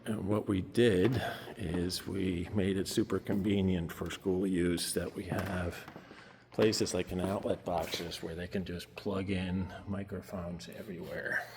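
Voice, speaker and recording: A man's voice: sits low, flat delivery, a little slow, noisy background. A man narrating a casual walkthrough video in a large auditorium, explaining things informally as a project presenter. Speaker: nonprofit staffer presenting a project. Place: large school auditorium with balcony, indoors.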